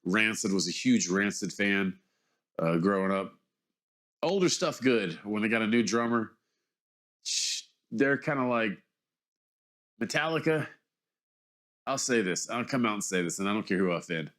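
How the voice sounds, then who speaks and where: A man's voice: lowish voice, moderate pace, pauses often, fairly bright tone. A man in his forties, mildly congested, giving a casual, rambling vlog monologue in which he hesitates, corrects himself and circles around his point. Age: 40s. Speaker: vlogger.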